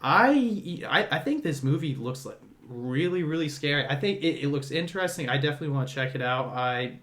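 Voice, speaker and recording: A man's voice: fairly high pitch, expressive, a little fast, fairly bright tone. A man co-hosting a podcast and leading a casual film discussion. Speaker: podcast co-host.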